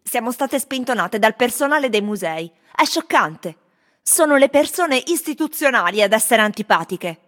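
An actress voicing disgruntled tourists in Rome, in a theatrical radio recitation of their testimonies. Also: female voice, fairly high pitch, lively intonation, normal speed, fairly bright tone. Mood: reflective. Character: disgruntled tourists in Rome, airing complaints and impressions.

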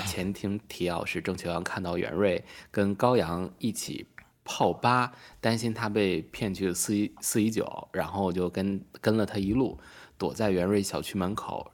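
A man's voice: low-pitched, moderate pace. A man hosting a live audio drama event, casually introducing scenes and directing the performers.

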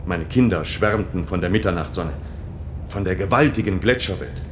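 An actor playing a protective, earnest helper in the underground in a German science-fiction radio drama, speaking with solicitous urgency. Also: male voice, low-pitched, moderate pace, noisy background, natural voice. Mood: wistful.

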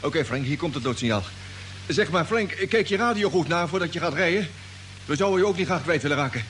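An actor playing an anxious, blunt spaceship radio operator in a Dutch radio drama, with a colloquial, excitable way of talking. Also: male voice, fairly high pitch, fast, a little hiss or hum. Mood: businesslike.

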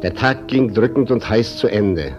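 A man narrating a tragic radio play in a measured, solemn manner. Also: on the low side, natural voice. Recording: dull old radio recording, music behind.

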